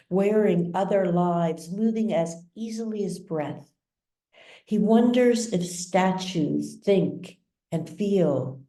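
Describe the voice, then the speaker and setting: Slow, mellow woman narrator, echoey room. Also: fairly low pitch. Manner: measured, deliberate phrasing. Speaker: author reading her own novel. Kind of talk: dramatic literary narration. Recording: roomy echo, distant mic.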